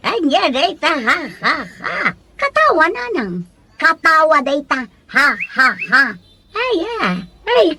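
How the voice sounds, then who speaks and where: A woman playing a nagging, quick-tempered Ilocano wife in a comedy radio drama, theatrical and whining, with an Ilocano accent. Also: high-pitched, heavily acted. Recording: clearly noisy recording.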